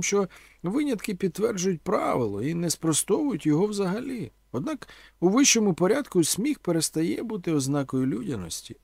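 A man's voice: sits high, vocal fry, a little mellow, dry recording, studio quality, pitched above the performer's own voice, exaggerated voice. A man voicing a pompous, sardonic, tipsy vagabond intellectual who declaims in bookish, mocking phrases.

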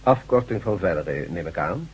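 An actor playing a self-assured, authoritative doctor in a radio drama, measured and probing as he questions. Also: low voice, expressive, slightly rough, exaggerated voice. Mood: reassuring, probing. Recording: dull, lo-fi radio play recording.

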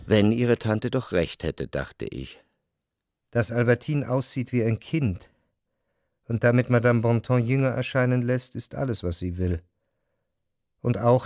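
An actor playing a refined, jealous, brooding man who narrates his memories in a measured, articulate radio play performance. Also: fairly low pitch, a little mellow, no echo, clean studio sound, natural voice. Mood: wistful, brooding.

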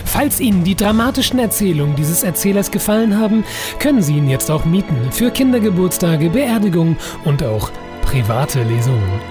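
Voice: medium pitch, very animated, normal speed, music in the background, natural voice. A performer playing a pompous, dramatic, self-promoting adventure narrator in a comedic audio drama. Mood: dramatic, smug.

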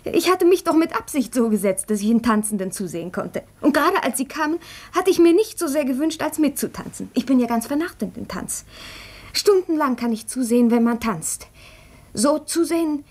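A young lady of a grand house in a period radio play, her voice notably high. She is highly strung, effusive and imperious, with an intense, abrupt way of speaking. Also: lively intonation, fairly quick. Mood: gushing, wistful. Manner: intense, abrupt, swinging between gushing and sharp. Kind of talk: period radio drama acting.

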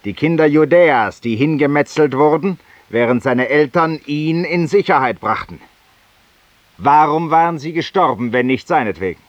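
A man's voice: a little high, animated, moderate pace, no room sound, natural voice. An actor playing a world-weary, eloquent, guilt-ridden man who confesses intimately to an unseen companion in a 1950s radio drama monologue. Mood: anguished, accusing. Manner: intimate, rhetorical, addressing an unseen companion, sometimes dropping to a whisper. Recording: muffled, distant 1950s radio recording.

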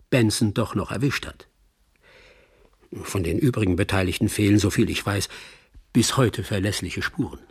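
Crime radio drama narrator: wry old friend, lowish husky voice, deliberate delivery. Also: male voice, a little mellow. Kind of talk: radio play narration, reporting like an epilogue.

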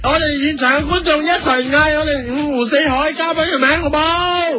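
A man's voice: very high voice, steady tone, a little fast. A man playing a chirpy music-show DJ with a Hong Kong Cantonese accent and exaggerated presenter patter in a radio parody. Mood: perky.

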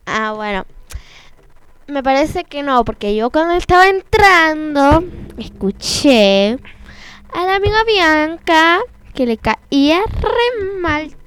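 A high-voiced young woman with a Rioplatense accent, played by an amateur actress in an improvised drama. She is nosy and suspicious, pressing her friends insistently over some background noise. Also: expressive, takes it slowly, a bit bright, full character voice. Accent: Rioplatense Spanish. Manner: insistent, demanding, chatty. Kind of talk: improvised acting exercise. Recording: clearly noisy amateur recording with clicks.

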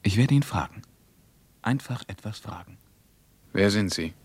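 An actor in a radio play, playing a middle-aged German writer and former soldier, sober, wary and matter-of-fact. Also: male voice, fairly low pitch, animated, a little slow, breathy edge, no room sound. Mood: brooding, hesitant.

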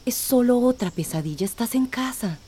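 Soft, dark-toned comforting wife played by a woman. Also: a little high, expressive, moderate pace, slight background noise, lightly acted. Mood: soothing. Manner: soothing, tender. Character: gentle, comforting wife of troubled man. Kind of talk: radio drama acting.

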